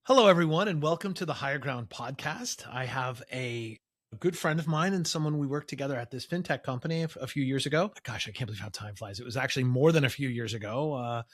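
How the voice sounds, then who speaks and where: A man hosts a podcast with an ordinary mid-pitched voice. He talks in a friendly, loose way, often circling back and filling pauses as he gets to the point. It sounds like casual interview hosting. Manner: friendly, rambling with fillers. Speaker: podcast host.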